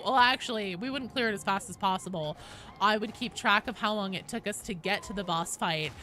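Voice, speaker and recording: Bright-voiced woman streaming a game. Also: medium pitch, slight background noise. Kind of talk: casual gaming stream chat.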